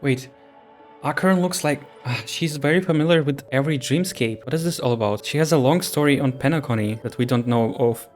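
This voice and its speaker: A man's voice: medium pitch, brisk. Man musing casually over a game. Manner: curious, musing aloud. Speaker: streamer reacting to a game. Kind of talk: casual gameplay reaction commentary. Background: faint music.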